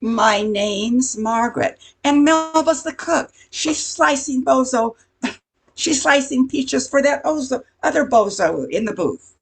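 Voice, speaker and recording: A woman playing a blunt, impatient diner waitress in an amateur radio comedy, with a husky voice. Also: sits high, expressive, slow pace, exaggerated voice. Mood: matter-of-fact. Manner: blunt, sassy.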